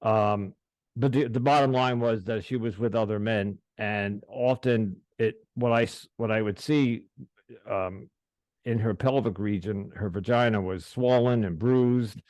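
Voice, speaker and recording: Halting male podcast host, dry sound. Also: on the low side, fairly even intonation, a little mellow, dry recording. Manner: halting, many restarts and fillers. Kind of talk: casual interview, personal disclosure.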